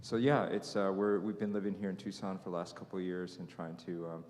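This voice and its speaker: A man's voice: low voice, little pitch movement, a little fast, darkish tone, slight background noise. A man introducing himself in a class, hesitant and full of fillers. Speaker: class participant. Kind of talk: casual self-introduction. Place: church hall. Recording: long church-hall reverb.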